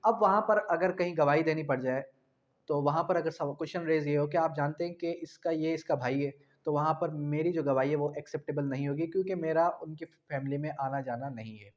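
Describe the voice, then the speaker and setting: Higher-pitched man teaching, muffled sound. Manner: didactic, repeats key phrases slowly, asks rhetorical questions. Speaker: law lecturer. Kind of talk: explanatory law lecture, mixing in English terms. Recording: dull, muffled lo-fi recording.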